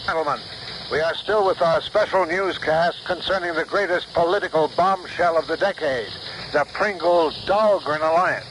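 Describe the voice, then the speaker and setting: An actor playing a sensational radio newscaster, delivering a formal, emphatic bulletin in a 1970s radio drama. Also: male voice, fairly high pitch, moderate pace, natural voice. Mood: urgent, dramatic. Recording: noisy old radio broadcast.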